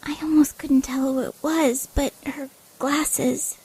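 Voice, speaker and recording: A woman's voice: high-pitched, flat delivery, takes it slowly, voice pushed up, rough recording. A woman voicing a scared, injured young female pegasus pony in an audio drama, whimpering and pleading. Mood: shaken, dread. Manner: whimpering, pleading, hushed at times.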